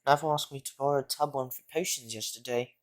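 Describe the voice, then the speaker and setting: A man acts as a glum schoolboy wizard in an audio play. The voice is middling in pitch, with a downcast delivery. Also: quick pace, close to an everyday voice.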